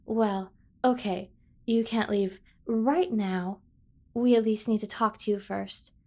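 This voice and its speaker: A woman playing a doting, obsessive kidnapper sibling in an ASMR roleplay, coaxing and teasing with drawn-out emphasis. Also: medium pitch, fairly even intonation, a little mellow. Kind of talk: scripted ASMR roleplay acting.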